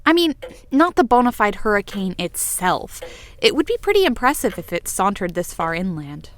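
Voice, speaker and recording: A woman's voice: mid-range voice, big pitch swings, normal speed, lightly acted. A woman playing an exuberant, bossy, playful friend with a theatrical, wordy manner in a fiction podcast. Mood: playful, grand.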